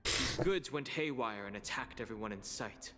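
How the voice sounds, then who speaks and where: A man's voice: medium pitch, moderate pace, bright, forceful tone. A man voicing a serious, analytical investigator in a video game. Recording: game music behind.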